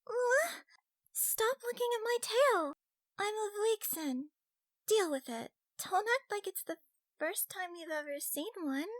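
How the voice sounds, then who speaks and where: A man's voice: extremely high pitch, animated, slow pace, fairly bright tone, pitched above the performer's own voice, exaggerated voice. A man voicing a shy but feisty young fox girl gamer in a fantasy audiobook, with a nervous, stammering, cutesy delivery. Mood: indignant.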